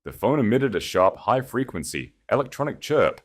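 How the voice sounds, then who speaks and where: A man's voice: mid-range voice, very animated, normal speed. A man narrating scripted fiction as a dramatic audiobook reader.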